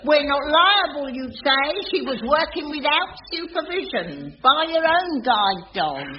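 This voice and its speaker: An actress playing a cheeky, work-shy older cleaner with a London accent and a mock-dignified manner in a radio sitcom. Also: female voice, high voice, sing-song, measured pace. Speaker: sitcom actor. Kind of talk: 1980s radio sitcom acting before an audience. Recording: dull, very noisy old radio recording.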